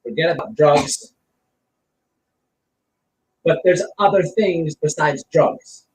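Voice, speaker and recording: Guy with a high swoopy voice ranting casually, blunt and emphatic, echoey room mic. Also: expressive, takes it slowly. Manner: blunt, emphatic, explains with repeated phrases and examples. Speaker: online streamer giving commentary. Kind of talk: casual opinionated commentary. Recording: roomy, distant mic with faint noise.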